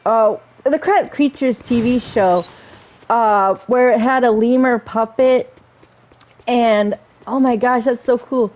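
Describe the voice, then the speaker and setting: High-pitched woman chatting through a game. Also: slow. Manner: chatty, thinking out loud, trails off and repeats herself. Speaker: gamer playing and reading game dialogue aloud. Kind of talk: casual let's-play commentary with dialogue read aloud.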